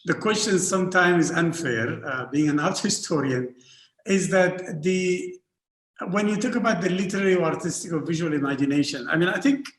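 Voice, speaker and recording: A man from Northern Sudan, an art historian speaking on an academic panel, halting often with repeated fillers and restarts. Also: a little high, a bit bright. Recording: roomy echo.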